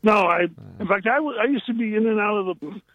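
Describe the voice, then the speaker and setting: An older man speaks in a husky, fairly high voice, loud and halting as he reminisces. He repeats his phrases as he goes. The sound is thin and echoey, like a phone call to a radio show. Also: animated. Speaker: guest caller, retired prison librarian. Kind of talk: casual reminiscing in a radio interview. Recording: tinny, echoey phone line.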